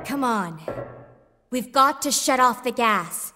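An actress playing a determined, protective young heroine in a horror video game, earnest and urgent. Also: female voice, on the high side, expressive, slow, in a large hall, music in the background. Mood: resolute. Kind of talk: video game cutscene acting.

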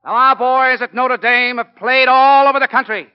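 An actor playing a middle-aged American college football coach in 1940s radio style, speaking plainly and emphatically like an orator. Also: male voice, very high voice, steady tone, normal speed, smooth. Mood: impassioned. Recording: muffled 1940s radio broadcast recording.

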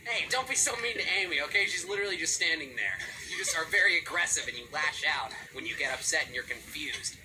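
A woman voicing a cocky young male hedgehog hero in a comedy fan dub, with sarcastic, quick banter. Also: deep voice, lively intonation, bright, forceful tone, a little hiss or hum, full character voice, rough recording. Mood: exasperated. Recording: fan dub with clear music behind.

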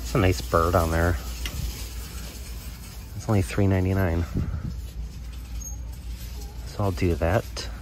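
A man's voice: sits low, steady tone, takes it slowly, long pauses, very noisy. A man giving casual, off-the-cuff commentary while browsing in a store, recorded on a handheld phone. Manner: off-the-cuff, thinking aloud. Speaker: thrifting vlogger and reseller. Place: inside a large thrift store. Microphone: handheld phone camera close by. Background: busy store ambience.

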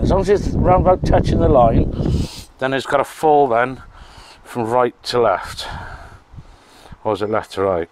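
Chatty Welsh man in a garden. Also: mid-range voice. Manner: chatty, self-mocking, rambling asides. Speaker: landscaper. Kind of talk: casual how-to vlog. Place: outdoor garden beside a house. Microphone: handheld phone, later a plugged-in mic.

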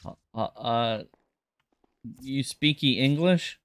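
A man's voice: medium pitch, slow pace, smooth, no room sound. A man improvising in a game roleplay, chatting in a hesitant, bemused manner. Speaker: roleplay streamer playing a police lieutenant.

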